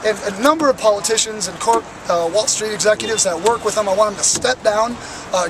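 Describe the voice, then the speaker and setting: Raspy-voiced young man protesting outdoors. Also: sits high. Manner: earnest and impassioned, with frequent fillers. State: raspy, worn voice. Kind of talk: street interview. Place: outdoors on a city plaza by traffic. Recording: outdoor street noise.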